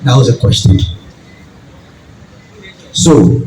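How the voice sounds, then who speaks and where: A man with a deep voice and a Ghanaian accent gives a public talk, deliberately, in short phrases and questions to the audience. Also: animated. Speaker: guest speaker, farming group leader. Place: event venue with audience. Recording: distant, echoey hall with audience noise.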